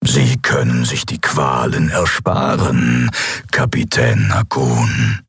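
A relentless armored machine emperor, voiced by a male narrator, who speaks deep below the narrator's own voice. He drawls each word slowly and coaxes with cold calm. His tone is husky and the sound is dry. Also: no room sound, faint music behind, heavily acted. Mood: coldly coaxing. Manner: slow, cold, coaxing.

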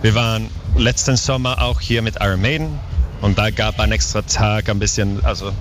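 A Swedish man in his forties, a touring rock singer, chatting in halting non-native German with restarts and joking asides at an outdoor festival. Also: lowish voice, fairly even intonation. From Sweden. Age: 40s. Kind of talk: casual interview chat. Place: outdoor music festival grounds. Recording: live music loud behind.

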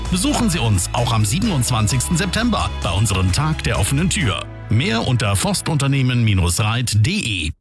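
A man with an average-pitched voice reads a commercial for radio. He sounds lively, and music plays clearly behind him. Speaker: advertisement voice. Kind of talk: scripted radio ad.

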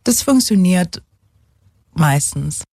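A woman's voice: on the low side, lively intonation, takes it slowly, a little mellow, husky, dry recording, studio quality. An English woman, a single mother, speaking German as a second language in a casual interview, hesitant with many fillers and mixing in English words. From England.

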